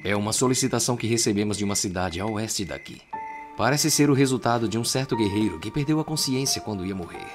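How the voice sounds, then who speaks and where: Anime dub role: man plays sly, condescending Brazilian male agent, lowish voice, measured and mocking, music behind. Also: animated, natural voice. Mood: calm, condescending. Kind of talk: dramatic anime fan dub.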